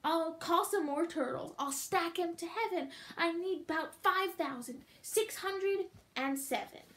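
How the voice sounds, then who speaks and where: A woman's voice: very high voice, measured pace, fairly bright tone, voice pushed up. A woman voicing a pompous, boastful male turtle king from a children's storybook, bellowing and bossy. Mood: indignant, determined. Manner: bellowing, imperious declaiming. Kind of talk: children's storybook read-aloud.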